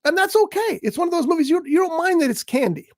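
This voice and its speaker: A man hosting a casual showbiz talk show, rambling enthusiastically about a movie, his voice croaky today. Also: very high voice, lively intonation, quick pace, no echo, clean studio sound. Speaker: show host. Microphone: studio mic on a live stream.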